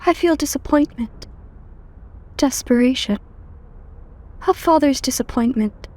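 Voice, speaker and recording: Woman playing a high-voiced sinister robot girl. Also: unhurried, warm tone. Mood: cold detachment. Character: sinister robot girl, obsessive, yearning for a father's love. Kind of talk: comic dub voice acting.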